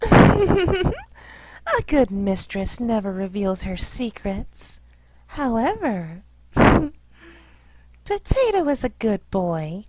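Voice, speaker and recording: Woman playing a slow, sultry teasing mistress. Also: on the high side, huge pitch swings, a little mellow, no room sound, heavily acted, poor audio. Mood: coy, amused. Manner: slow, drawn-out coy delivery. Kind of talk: scripted cartoon parody dub.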